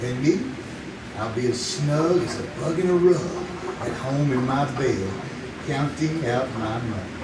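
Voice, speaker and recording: An actor playing a small-town would-be bank robber who mutters and gloats to himself in a live radio play. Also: male voice, mid-range voice, measured pace, lightly acted. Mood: gleeful. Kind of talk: live old-time radio drama acting. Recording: roomy live stage, distant mic, noisy.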